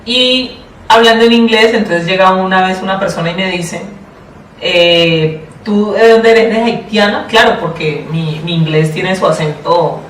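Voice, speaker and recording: A woman with a Colombian accent answering interview questions in a thoughtful, deliberate way, often repeating and rephrasing herself. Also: mid-range voice. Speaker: interview guest, public education official. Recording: roomy, distant mic, faint noise.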